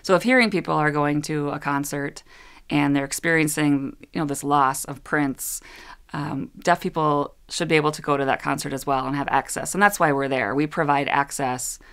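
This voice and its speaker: Low-voiced woman sign language interpreter. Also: normal speed, fairly bright tone, clear, resonant tone. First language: English. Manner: earnest, restarts often, laughs easily. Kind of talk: interview talk.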